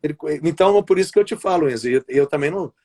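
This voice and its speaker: Chatty Brazilian man with a higher voice. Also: expressive, racing, a little mellow. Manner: rambling, restarts often, tags sentences with "né" and "sabe". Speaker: wrestling commentator and play-by-play announcer. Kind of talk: casual interview chat over a live stream. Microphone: video call.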